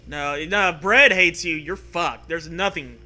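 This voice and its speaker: A man reading a comedy story aloud in an animated way, acting out the dialogue and bantering with casual swearing. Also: fairly high pitch, a little slow.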